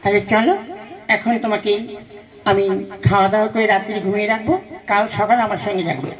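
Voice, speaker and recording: A man's voice: high voice, level tone, quick pace, a bit bright, far from the mic. A man voicing a nagging, scolding village mother who instructs her schoolboy son in a comic puppet show. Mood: instructing. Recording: dull lo-fi sound.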